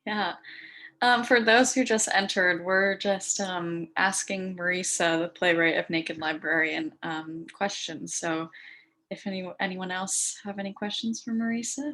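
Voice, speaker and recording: A woman's voice: fairly low pitch, steady tone, measured pace, a bit bright, low-quality recording. A woman moderating an audience Q&A on a video call, hesitant with many ums. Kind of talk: hosting a Zoom Q&A.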